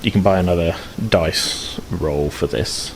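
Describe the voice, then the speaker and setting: A very low-pitched man runs a tabletop game, narrating in a loose, rambling way full of restarts and fillers. A faint noise sits under his voice. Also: a little slow. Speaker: game master.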